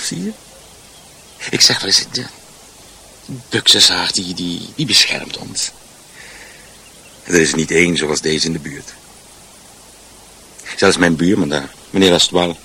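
A man's voice: medium pitch, creaky, pushed and bright, a little hiss or hum, exaggerated voice. An actor playing a chatty, fussy middle-aged husband in a radio drama, proud of his home and often stammering and repeating himself. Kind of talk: Dutch radio drama acting.

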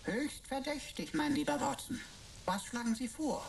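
A woman's voice: a little high, very animated, normal speed, background noise. A woman playing a cheeky talking pocket computer in a German radio play, flowery, ironic and mock-formal.

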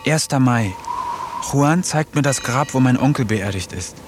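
A man narrating a radio play as a diary, slow, subdued and introspective. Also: mid-range voice, expressive. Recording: noisy background. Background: ambient noise.

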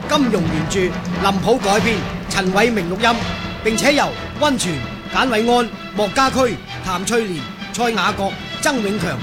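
Male announcer, Cantonese, high pitch, formal scripted delivery, clear music underneath. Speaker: radio drama announcer. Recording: loud music behind.

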